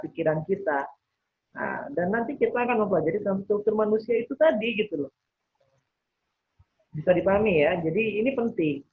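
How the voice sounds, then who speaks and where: A man teaching interactively as a trainer, explaining, checking that listeners follow and voicing imagined thoughts as examples. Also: sits high. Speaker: NLP trainer leading a webinar. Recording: thin phone-like webinar audio.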